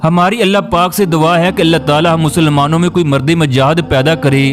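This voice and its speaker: A man's voice: a little high, fairly even intonation, a little fast. A man reading a scripted documentary narration in a solemn, emotive, declamatory way. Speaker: narrator of a biography video. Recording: music behind the voice. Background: background music.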